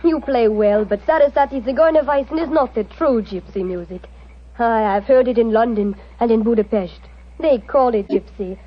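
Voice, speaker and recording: Woman acting a solemn, high-voiced fortune-teller, muffled old radio. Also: slight background noise, lightly acted. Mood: proud. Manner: solemn, dramatic, deliberate phrasing. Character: mysterious Romani fortune-telling woman, musical, proud and wistful. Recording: dull, muffled 1940s radio recording.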